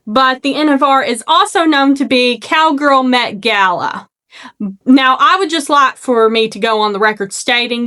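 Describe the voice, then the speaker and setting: A woman's voice: fairly high pitch, fairly bright tone. Chatty young Arkansas woman with a lisp. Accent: Southern US, Arkansas. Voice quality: slight lisp. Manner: chatty, self-deprecating, laughs and trips over words. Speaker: makeup vlogger. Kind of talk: casual makeup vlog chat. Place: at home, seated. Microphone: phone camera.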